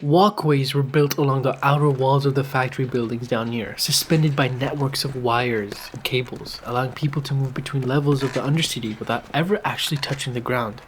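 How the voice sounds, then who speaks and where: A man's voice: medium pitch, steady tone, brisk, hardly any pauses, slight background noise. A man co-narrating a fiction podcast in a scripted, conversational storytelling style, with an informal manner.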